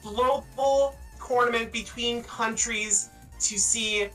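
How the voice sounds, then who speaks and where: Canadian woman hosting an esports stream, bright voice, kinda far from the mic, hyped and jokey. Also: a little high, slow pace. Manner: energetic, joking, boastful banter. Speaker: host and caster. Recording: distant mic with faint music. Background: music.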